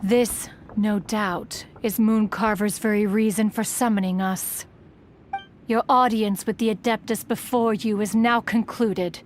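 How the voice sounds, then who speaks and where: Slow, imperious ancient female spirit, acted by a woman. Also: medium pitch, fairly even intonation, a little hiss or hum, close to an everyday voice. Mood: stern, grave. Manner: imperious, formal archaic diction, refers to self as one. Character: proud, aloof, ancient female adeptus spirit, haughty recluse. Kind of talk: scripted fantasy video game acting.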